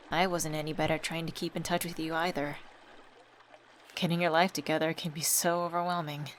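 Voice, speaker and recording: A young woman , acted by a voice actress, speaks in a slightly low register as a supportive childhood friend. She is casual and intimate, comforting with a light teasing edge, in the manner of a scripted ASMR audio drama. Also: slight background noise, natural voice. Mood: wistful, sincere. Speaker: voice actor. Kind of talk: scripted ASMR roleplay, intimate conversational acting.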